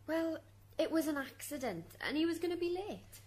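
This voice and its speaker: Woman playing high-voiced, aggrieved mother on trial. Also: expressive, normal speed, a little mellow. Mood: defensive. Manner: aggrieved, defensive. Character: indignant, self-defending dead housewife and mother on trial. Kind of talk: radio drama acting.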